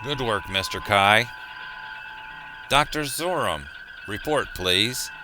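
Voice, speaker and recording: A man playing a steady, commanding starship captain in a sci-fi audio drama. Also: on the low side, takes it slowly, background noise. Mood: composed, authoritative.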